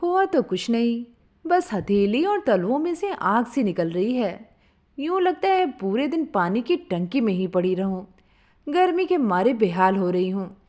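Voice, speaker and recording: A simple, doting village mother played by a woman storyteller speaks to her son in homely, colloquial words. The voice is on the high side and very animated, in the manner of a spoken comic story. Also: measured pace. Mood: complaining, unwell.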